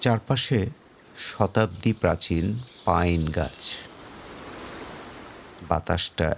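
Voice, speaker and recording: Very slow, low-voiced man guiding relaxation. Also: creaky, a little hiss or hum. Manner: measured, pausing between short phrases. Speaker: guided relaxation narrator. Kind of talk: slow guided meditation narration. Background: faint music.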